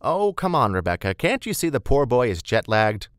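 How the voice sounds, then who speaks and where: A man's voice: mid-range voice, big pitch swings, clear, resonant tone, dry recording, clean studio sound, voice pushed up, lightly acted. A man voicing a chatty, motherly woman who bustles and welcomes people in an audiobook. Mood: fussing, sympathetic. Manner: talkative, welcoming, brisk. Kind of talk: audiobook character dialogue.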